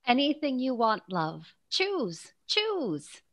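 An actress playing a generous, breezy well-off friend in a modern radio play. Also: female voice, high voice, wildly swooping pitch, slow, a bit bright, no echo, studio quality, heavily acted.

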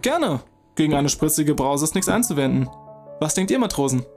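A man acts a bossy young student leader in an adventure audio drama. He is jaunty and quaintly commanding, in a fairly high voice with faint music underneath. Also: big pitch swings, moderate pace, darkish tone. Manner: jaunty, old-fashioned, commanding. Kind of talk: German audio play acting.

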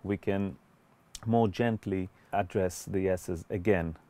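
A man in his forties giving an explanatory tutorial as a music producer, in a measured, hesitant way. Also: low-pitched, fairly even intonation, warm tone, no echo. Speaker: music producer and mixing instructor. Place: indoor recording studio.

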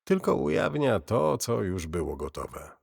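A man voicing a grim, enigmatic archivist who gives solemn, measured warnings of doom in a horror audio drama. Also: fairly low pitch, big pitch swings, creaky, a little mellow, breathy edge, full character voice. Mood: grave warning. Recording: cavernous long reverb.

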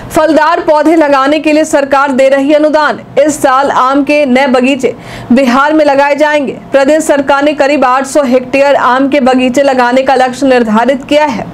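A young woman anchoring a regional news bulletin from a studio, reading each item in a steady, even newsreader delivery. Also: sits high, normal speed, a little hiss or hum. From India. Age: young adult.

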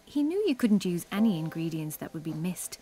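A woman's voice: lowish voice, very animated, a little fast, mellow. A woman narrator reading a scripted children's story.

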